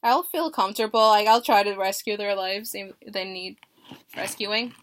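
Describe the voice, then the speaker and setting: A young woman job applicant answering phone interview questions in a nervous, halting and hesitant manner. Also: mid-range voice. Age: young adult.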